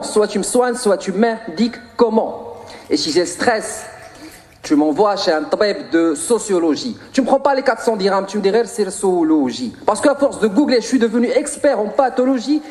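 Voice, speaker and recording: A man with a Moroccan accent performing spoken-word slam poetry in a theatrical, rhythmic, punchy delivery with drawn-out line endings. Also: sits high, a little mellow. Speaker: slam poet.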